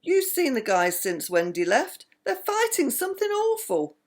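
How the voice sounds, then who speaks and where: A woman's voice: sits high, wildly swooping pitch, normal speed, voice pushed up. An older woman reading the part of a cheeky, scheming, persuasive boy in a bedtime storybook. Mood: coaxing, eager.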